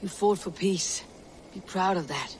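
A stoic Viking warrior leader in a game cutscene. The voice sits fairly high for a man, solemn and measured, with ambient noise behind it. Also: low-quality recording. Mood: calm, grave. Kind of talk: dramatic video game cutscene acting.

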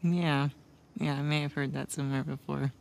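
A woman's voice: low voice, steady tone, normal speed, vocal fry, darkish tone, slight background noise, rough recording. An actress playing a dry-witted, level-headed American adventurer woman in a video game, with an understated, teasing manner. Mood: tender, teasing. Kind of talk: cinematic video game acting, naturalistic.

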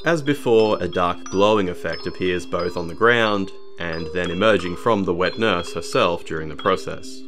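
Male narrator for game analysis, low-pitched, documentary-style scripted read over a music bed. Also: normal speed, hardly any pauses. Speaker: video narrator.

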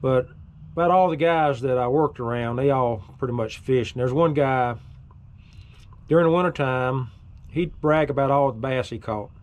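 A middle-aged man hosting a fishing vlog from a small boat on a lake, talking in a folksy, drawling way and getting excited when fish bite. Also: medium pitch, normal speed. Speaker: fishing video host. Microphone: action camera mounted on boat.

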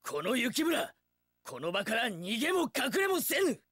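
An actor playing a young, hot-blooded, loyal samurai in an action game, bellowing earnestly in archaic warrior speech. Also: male voice, very high voice, sing-song, a little slow, bright, forceful tone, husky, no room sound, studio quality, exaggerated voice. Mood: fiery resolve. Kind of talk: Japanese action game voice acting.

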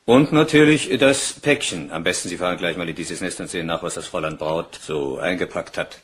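A man's voice: low voice, fairly quick, slight background noise, close to an everyday voice. A man playing a commanding police superintendent in a German crime radio play, speaking in a clipped, authoritative way. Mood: businesslike. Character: commanding, brisk male police superintendent giving orders.

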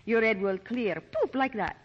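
An actress playing a charming, slyly coaxing countess with a husky voice in a 1940s radio drama. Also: female voice, on the high side, normal speed, close to an everyday voice. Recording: dull old 1940s radio recording.

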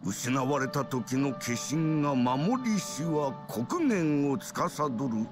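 An actor playing a learned, grave old man in a fantasy video game, speaking in a measured, archaic way. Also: medium pitch, lively intonation, music in the background. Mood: solemn recitation. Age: older.

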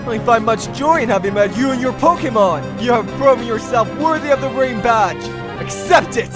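A woman's voice: a little high, expressive, normal speed, lightly acted. A woman voicing a flamboyant, gracious male gym leader with a theatrical, florid manner in a video game fan dub. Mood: exuberant, gracious. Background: game music.

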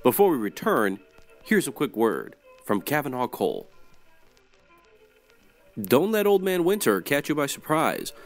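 A man playing an old-time radio announcer reading a sponsor's pitch. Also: natural voice. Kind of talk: vintage radio advertising.

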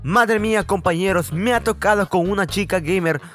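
A young man, giving casual, excitable gameplay commentary full of swearing and trolling banter. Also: high-pitched, normal speed, over music. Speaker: gaming video host.

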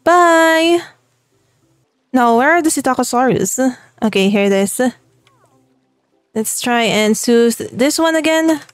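A young woman with a Filipino accent talks her way through a game, upbeat and chatty and quick to laugh. Her voice sits a little high. The sound is close and dry, with no room around it. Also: animated, dry recording, faint music behind. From Philippines. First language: Tagalog. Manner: cheerful and chatty, laughs often. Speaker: gaming channel host. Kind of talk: let's play commentary.

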